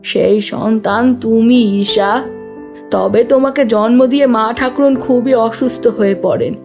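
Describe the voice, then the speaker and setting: Woman acting devoted governess, high voice, slow emphatic delivery, anxious, protective, audio drama, music underneath. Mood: sorrowful reminiscence. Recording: music behind the voice.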